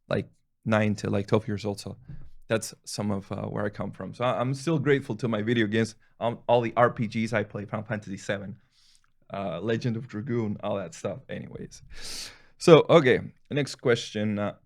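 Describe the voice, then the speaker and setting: A man's voice: fairly low pitch, moderate pace, vocal fry, dry recording, studio quality. A man in his thirties, a family physician and native Spanish speaker, talking casually and rambling, with restarts and chuckles. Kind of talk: casual talk to camera, answering questions.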